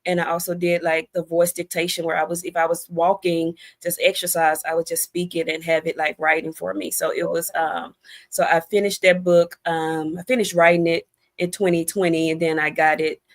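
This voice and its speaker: A woman's voice: on the low side, flat delivery, normal speed. A middle-aged American woman talking casually as an interview guest, in a chatty, storytelling way with conversational asides. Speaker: guest, debut novelist.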